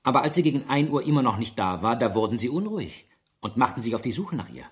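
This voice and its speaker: An actor playing a sharp police inspector in a radio drama, pressing his questions methodically. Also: mid-range voice, lively intonation, fast, lightly acted. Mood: methodical, sceptical. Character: persistent, sharp male police inspector interrogating suspects.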